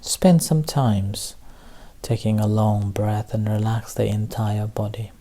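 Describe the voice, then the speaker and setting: A man leading a guided meditation as a teacher, speaking gently and haltingly in short phrases with long pauses. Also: on the low side, steady tone. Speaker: Buddhist meditation teacher leading a group session. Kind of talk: guided meditation instruction, unscripted.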